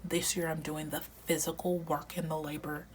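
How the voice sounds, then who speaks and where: A woman in her thirties chatting casually while decorating a planner, reflective and talkative, often dropping into soft half-whispers, her voice going a little raspy from a long day of recording. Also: low voice, little pitch movement, moderate pace, a little hiss or hum. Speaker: planner vlogger. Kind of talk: casual plan-with-me chat.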